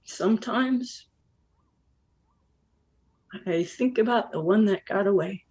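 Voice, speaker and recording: Woman acting a slow-talking, weary, worldly wife. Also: mid-range voice, darkish tone, husky. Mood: bitter, reflective. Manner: deliberate, wistful, blunt. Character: weary, worldly wife and mother escaping to fish. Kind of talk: dramatic monologue in a stage play.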